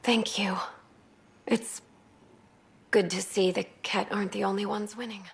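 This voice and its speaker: A woman's voice: medium pitch, little pitch movement, a little slow, creaky, very noisy. A woman playing a weary alien junior officer, a refugee survivor, in video game cutscene dialogue. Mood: subdued, grateful.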